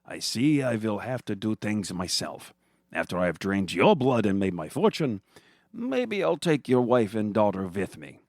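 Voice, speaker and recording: A man's voice: fairly low pitch, very animated, normal speed, gravelly, a little mellow, no room sound, studio quality. A man voicing a gloating, theatrical villain wizard, pompous and ranting, in a comic horror story. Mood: gloating menace.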